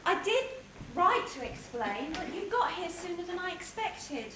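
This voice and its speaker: Woman as a sweet young panto housemaid, high lively voice, echoey and noisy, far off. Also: a little slow, heavily acted. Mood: bashful. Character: eager, bashful young pantomime housemaid heroine. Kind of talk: pantomime stage acting. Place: theatre stage.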